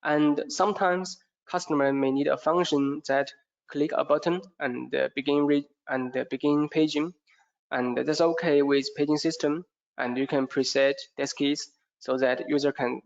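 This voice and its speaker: A man presents a product as if in a training session. His voice is low-pitched for a male speaker and creaks with fry. He moves haltingly, hesitating and repeating words as he explains. The audio is dull and lo-fi. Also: steady tone.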